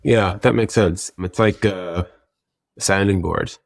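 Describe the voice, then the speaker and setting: A man with a very low voice talks in a casual interview, thinking aloud as he explains. The sound is close and very dry, with hardly any room on it. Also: slow pace, gravelly, dry recording. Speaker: interview guest, tech product executive.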